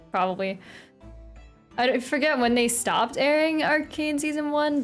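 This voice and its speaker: An American woman streaming live, chatting casually and giggly with her viewers between reading game lines. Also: medium pitch. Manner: chatty, giggly, talks to chat. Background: faint pop music playlist.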